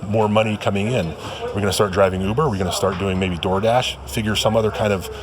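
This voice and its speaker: A man's voice: on the low side, fairly even intonation, fast talker. A man who works as an air traffic controller, speaking worriedly in a news clip. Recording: clip with crowd behind.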